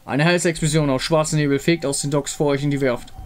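A man's voice: a little high, steady tone, fast, a little mellow. A man reading scripted story narration from a game as its narrator. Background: music.

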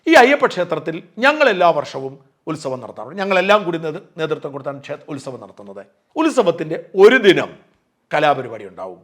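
Fast, emphatic man giving opinion commentary. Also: on the high side, big pitch swings. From Kerala. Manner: emphatic, argumentative, drawing on personal experience. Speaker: online news channel host.

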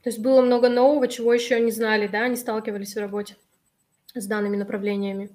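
A woman in her thirties, a little hoarse from allergies, hosting a live webinar on a video call and reading out and answering viewers' chat messages in a friendly way. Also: medium pitch, flat delivery, quick pace, a little mellow. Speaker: webinar host. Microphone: webcam on a video call.

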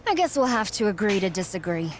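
A woman's voice: mid-range voice, sing-song, fast talker, slight background noise, close to an everyday voice. A woman voicing a confident young female rookie wrestler who talks coolly. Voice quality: confident, cool.

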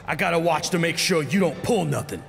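Casting: male reader as hot-headed male rebel boss for an audiobook, rough slangy commands, raised pitch, music underneath. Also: animated, fairly quick, long reverb tail. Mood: angry, commanding. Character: gruff, bossy, hot-tempered male resistance leader.

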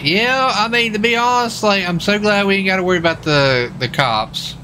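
A man with a bright, punchy voice rambles casually to his stream, circling back over his own words. There is faint noise behind him. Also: high voice, lively intonation, moderate pace. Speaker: livestreamer and role-play gamer. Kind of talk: casual chat while streaming a game.

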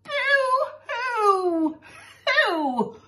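A woman voicing a doting, weepy storybook mother who gushes in drawn-out, melodramatic baby talk. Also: high voice, animated, fairly bright tone, voice pushed up, heavily acted. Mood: tearful, gushing. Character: gushing, sickly-sweet, weepy doting mother.

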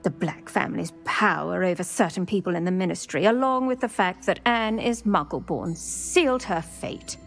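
An actress playing a stern, protective older retired witch officer in a video game, formal and measured. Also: fairly low pitch, vocal fry, soft background music. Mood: grave, indignant. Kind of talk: video game character acting.

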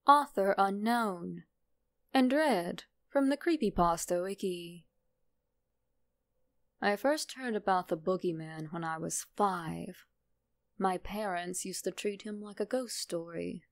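A woman narrating a horror story in a measured, deliberate, dramatic way. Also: medium pitch.

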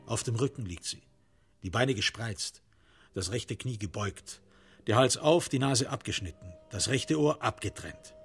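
A man narrating a scripted crime radio drama in a measured, literary and factual way. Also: fairly low pitch, lively intonation, gravelly, over music. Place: studio.

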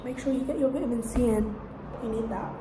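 A woman's voice: fairly high pitch, fast, very noisy. A young woman chatting to her phone camera in a casual, rambling, self-deprecating vlog, filmed in a small kitchen and a bare room. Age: young adult. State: out of breath, blocked nose. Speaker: vlogger. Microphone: phone camera propped nearby. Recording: echoey room.

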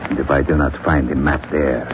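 An actor playing a cold, menacing foreign villain, speaking slowly and deliberately in 1940s radio drama style. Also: male voice, a bass voice, level tone. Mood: cold menace. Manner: slow, deliberate, threatening. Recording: muffled, noisy 1940s radio recording.

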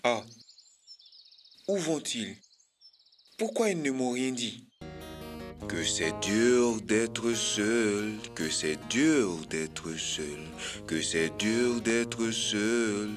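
Lonely, mournful cartoon giraffe uncle. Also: male voice, on the low side, animated, extremely slow, over music. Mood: lonely, sad. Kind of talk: cartoon voice acting with sung refrain.

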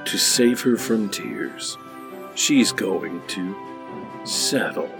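A man playing a solemn, overblown film trailer announcer with a slow, portentous delivery. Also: fairly low pitch, animated, music in the background, exaggerated voice. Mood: mock solemn. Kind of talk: parody film trailer narration.

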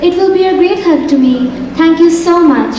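A woman's voice: very high-pitched, moderate pace, mellow, slight background noise. A young woman playing a gracious young female singer on a school stage, speaking in a polite, formal way. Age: young adult. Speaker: student actress. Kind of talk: stage acting in a school play. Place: large theatre stage. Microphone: far stage mic. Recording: echoey hall from far off.

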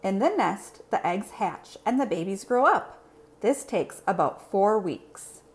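A woman reading a children's picture book aloud in an expressive, simple storytelling manner. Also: medium pitch, unhurried. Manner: clear, simple, expressive delivery for young children.

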